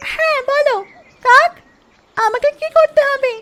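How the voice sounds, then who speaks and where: A woman's voice: extremely high pitch, a little slow, a bit bright, slight background noise. A woman voicing a kind-hearted mother duck in a cartoon, with an earnest, coaxing manner. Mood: friendly. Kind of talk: cartoon voice acting.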